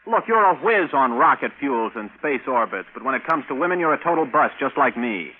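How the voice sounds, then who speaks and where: A man's voice: medium pitch, sing-song, fairly quick, close to an everyday voice. A wry, brash rocket pilot, played by a man in old radio drama style. His conversational delivery swoops with animation, heard through a narrow, phone-like broadcast with light hiss. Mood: wry, scolding. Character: brash, exasperated bachelor rocket pilot and scientist. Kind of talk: 1950s radio acting. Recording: tinny, noisy vintage radio broadcast.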